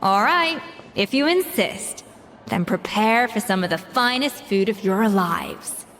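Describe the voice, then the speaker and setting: A woman's voice: medium pitch, expressive, slow pace, fairly bright tone, big-room sound, a little hiss or hum. An actress voicing a confident, proud female master pastry chef who mentors her former staff. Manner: self-assured, mentoring. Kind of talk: video game voice acting.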